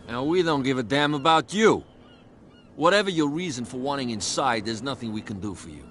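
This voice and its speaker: An actor playing a surly male bootlegger thug in a video game, mocking and often hushed. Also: mid-range voice, lively intonation, a little fast, a little hiss or hum, natural voice. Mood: sneering.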